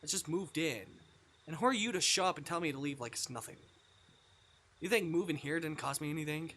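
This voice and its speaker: A man's voice: fairly high pitch, fairly quick, sharp tone, pitched above the performer's own voice. A man voicing an exasperated visual novel protagonist, a new resident who is incredulous and confrontational. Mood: indignant.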